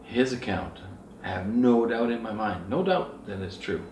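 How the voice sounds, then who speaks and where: Middle-aged man, lowish voice, earnest off-the-cuff storyteller, recorded at a distance in a roomy space. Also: moderate pace, a little mellow, slight background noise. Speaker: outdoor outfitter and Sasquatch researcher. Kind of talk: casual rambling anecdotal talk. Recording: roomy echo, distant mic, faint music.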